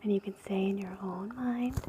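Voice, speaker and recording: A woman whispering slow, gentle affirmations for ASMR at home, close to a sensitive mic. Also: mid-range voice, background noise. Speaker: ASMR host. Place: home, indoors.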